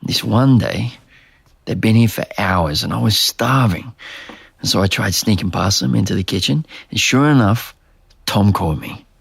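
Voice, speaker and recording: An actor playing a troubled, self-doubting young man, husky and gravelly, speaking in a casual, self-deprecating way in a naturalistic audio drama. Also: on the low side, animated, moderate pace, no echo, heavily acted. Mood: wry, bitter. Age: young adult.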